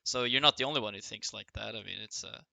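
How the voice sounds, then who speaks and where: Bright-voiced man hosting, slightly hoarse. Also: lowish voice, fast talker, dry recording. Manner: friendly, rambling, lots of restarts and fillers. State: losing his voice, clearing throat. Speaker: interview host. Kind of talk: casual interview over voice chat.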